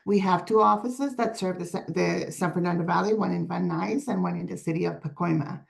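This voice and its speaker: A woman with a low, gravelly voice that sounds raspy today. She presents her organization's day services on a video call in an earnest, steady way, working through prepared points with the odd filler. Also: fairly quick. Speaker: director of day services presenting at a community forum.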